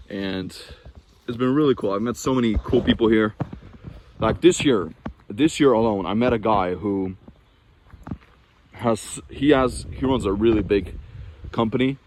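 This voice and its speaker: A man's voice: lowish voice, a little mellow, a little hiss or hum. A young man from Norway talking casually to camera in a vlog, rambling and chatty, with frequent restarts and fillers. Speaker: vlogger.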